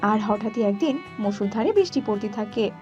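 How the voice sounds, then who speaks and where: A woman giving scripted narration for an animated children's story. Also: medium pitch, normal speed. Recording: slightly dull audio with background music.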